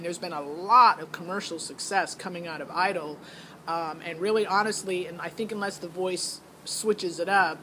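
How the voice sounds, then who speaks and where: A woman's voice: on the low side, a bit bright, a little hiss or hum, rough recording. A middle-aged woman giving a casual, rambling review as a parent, thinking aloud in a hesitant, matter-of-fact way. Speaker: parent vlogger reviewing a singing show audition.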